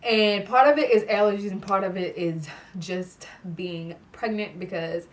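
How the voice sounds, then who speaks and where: A woman's voice: mid-range voice, unhurried, distant mic. A young woman chatting casually to camera in a vlog, stuffy-nosed and laughing often, filling her talk with "like". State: congested, clears throat. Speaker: vlogger. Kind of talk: casual pregnancy update vlog. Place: indoor room with fairy lights.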